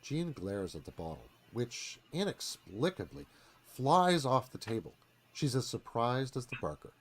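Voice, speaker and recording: A man's voice: mid-range voice, sing-song, unhurried, husky. A man giving a dramatic scripted narration, reading stage directions as narrator.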